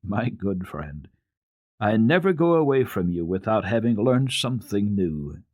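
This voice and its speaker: A man voicing a tender-hearted, kindly gentleman doctor in a children's storybook reading, gentle, soothing and earnest. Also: fairly low pitch, very animated, measured pace, no echo, studio quality. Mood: warm, grateful.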